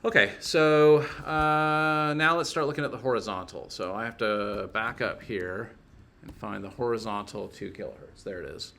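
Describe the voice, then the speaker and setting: A man's voice: lowish voice, moderate pace, creaky, pushed and bright, a little hiss or hum. A man teaching a casual hands-on technical lesson, informally thinking aloud as he works through each step.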